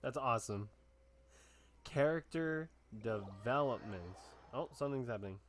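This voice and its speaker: A man's voice: medium pitch, lively intonation, normal speed, a little hiss or hum. A man giving casual reaction commentary as he streams a game.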